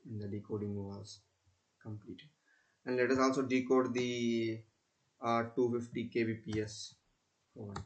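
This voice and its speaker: A young man speaks with an Indian accent at an average male pitch. He presents a technical demonstration in the manner of a student, explaining step by step haltingly and often repeating his phrases. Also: flat delivery, lo-fi sound. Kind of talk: screen-recorded technical demonstration.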